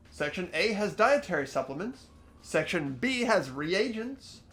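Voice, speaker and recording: A man's voice: high-pitched, a bit bright, full character voice. An amateur actor voicing an earnest teenage boy protagonist in a visual novel game, thinking aloud as he investigates. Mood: curious, concerned. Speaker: host of the playthrough. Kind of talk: fan-dubbed visual novel game narration.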